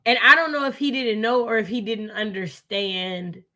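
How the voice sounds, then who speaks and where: A woman's voice: medium pitch, normal speed, pushed and bright. An American woman chatting casually about books as a co-host, opinionated and emphatic, often repeating phrases to drive a point home. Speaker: co-host of a book readalong live discussion. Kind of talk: casual, opinionated book chat.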